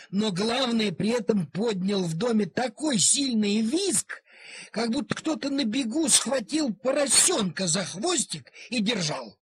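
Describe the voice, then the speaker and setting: A man's voice: very high voice, husky. A man narrating a story expressively, in a theatrical way, drawing out key words.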